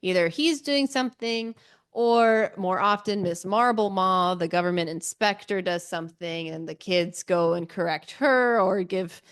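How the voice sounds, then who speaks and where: A woman's voice: mid-range voice, measured pace, clear, resonant tone. A woman co-hosting a book podcast, giving a casual, chatty recap with informal asides and a giggly streak. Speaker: podcast co-host.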